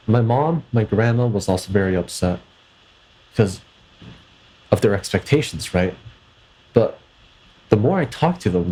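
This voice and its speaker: Young canadian guy vlogging, low voice, kinda raspy, stops and restarts a lot. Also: a little mellow, rough recording. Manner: hesitant, thoughtful, with frequent restarts and stumbles. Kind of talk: reflective personal vlog monologue.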